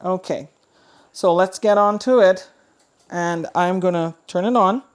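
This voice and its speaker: A woman's voice: fairly low pitch, a little slow, clean tone. A woman giving a casual, chatty product review, thinking aloud as she demonstrates. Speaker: host of a radio review channel.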